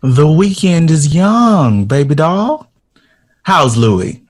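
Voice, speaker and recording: A gentle, urbane composer of the jazz era in an audio drama. His voice is soft and husky. He speaks with genteel, playful wit. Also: fairly high pitch, big pitch swings, slow, full character voice. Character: gentle, witty, urbane male jazz composer, around fifty. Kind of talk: period audio drama dialogue.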